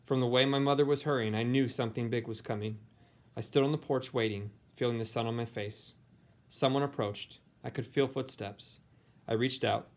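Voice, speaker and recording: American man, teacher reading to kids. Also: mid-range voice. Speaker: teacher and read-aloud host. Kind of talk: picture book read-aloud, informal introduction.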